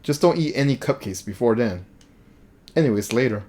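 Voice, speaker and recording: A man's voice: mid-range voice, lively intonation, fairly quick, a little mellow, natural voice. Man reading as a curt, flustered schoolgirl. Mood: flustered, brusque. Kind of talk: visual novel character reading.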